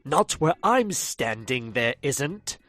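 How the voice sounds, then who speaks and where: A man's voice: mid-range voice, very animated, slow pace, sharp tone, faint music behind. A man narrating an audio drama, a fussy storyteller who gets indignant when interrupted. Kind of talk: scripted narration.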